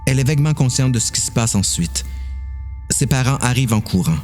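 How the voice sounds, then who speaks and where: A man's voice: mid-range voice, animated, fairly quick, darkish tone, soft background music. A man with a Quebec French accent narrating a dramatised horror story.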